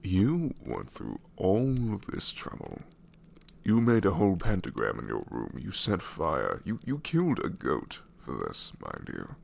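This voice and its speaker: A man's voice: sits low, wildly swooping pitch, a little slow, creaky, heavily acted. A man voicing a sassy, exasperated ancient male shadow demon in an ASMR roleplay, sarcastic and incredulous. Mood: exasperated sarcasm.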